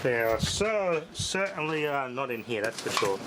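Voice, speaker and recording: Rambling man over loud hum. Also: mid-range voice, big pitch swings, moderate pace. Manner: rambling, offhand, thinking aloud. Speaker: hobbyist radio restorer. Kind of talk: casual workshop vlog.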